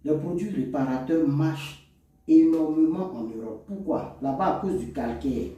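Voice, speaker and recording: A man's voice: fairly high pitch, normal speed, dark tone, a little hiss or hum. A man with an African French accent explaining products in an informal, didactic lesson with emphatic delivery. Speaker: cosmetics chemist and instructor. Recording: echoey room, distant microphone.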